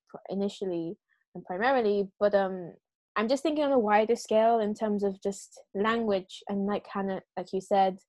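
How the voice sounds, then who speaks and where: A woman hosting a casual discussion, thinking aloud with many fillers and pauses. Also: medium pitch, a little mellow, dry recording. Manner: hesitant, searching, many fillers. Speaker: podcast host.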